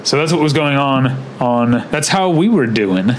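Male podcast co-host, halting, noisy recording. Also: medium pitch, animated. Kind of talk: casual unscripted podcast chat.

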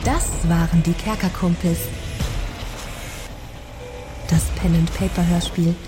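Lady doing scripted outro, slightly low voice, music clearly behind her. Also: steady tone, moderate pace. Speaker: outro announcer. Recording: prominent music bed behind voice.

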